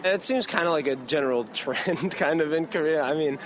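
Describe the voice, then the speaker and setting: A man's voice: on the high side, brisk. Casual man on a noisy street. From Florida. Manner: casual, hesitant, lots of fillers. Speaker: street interviewee. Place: city street outdoors. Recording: street recording with traffic noise.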